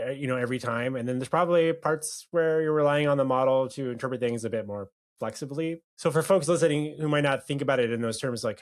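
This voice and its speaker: Quick-talking male podcast host. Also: mid-range voice. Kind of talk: conversational interviewing.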